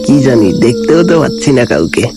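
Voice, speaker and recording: A man's voice: medium pitch, very animated, fast, over loud music. A man voicing a weary, wary village grandfather of about seventy in a cartoon ghost story, talking in a folksy, self-muttering way. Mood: uneasy. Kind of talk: animated ghost story dialogue.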